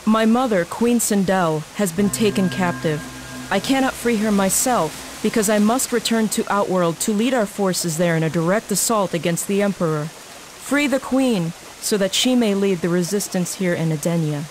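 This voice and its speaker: A woman's voice: medium pitch, normal speed, slight background noise, lightly acted, rough recording. An actress playing a regal young female warrior princess in a video game cutscene, commanding and urgent. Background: music.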